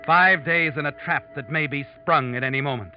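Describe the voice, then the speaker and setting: A man plays an ominous narrator of a vintage radio play, taunting the listener as the guilty one. His fairly high voice is deliberate, over clear music on a dull recording. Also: natural voice. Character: ominous, knowing, taunting male storyteller addressing the murderer. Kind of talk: dramatic second-person narration, old-time radio. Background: dramatic music.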